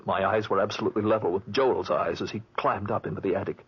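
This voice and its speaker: An actor playing an anguished man haunted by killing his friend, with halting dramatic delivery in a 1940s radio drama. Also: fairly low pitch, steady tone, slightly rough. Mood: haunted, horrified. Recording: muffled old 1940s radio recording.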